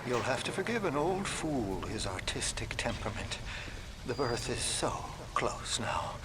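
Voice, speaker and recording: A theatrical, unhinged older artist, wildly swooping. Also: male voice, mid-range voice, big pitch swings, a little slow, very noisy. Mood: contrite, grandiose. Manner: theatrical, florid, grandly pompous. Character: flamboyant, unhinged older male artist, grandiose and volatile. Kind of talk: video game villain acting.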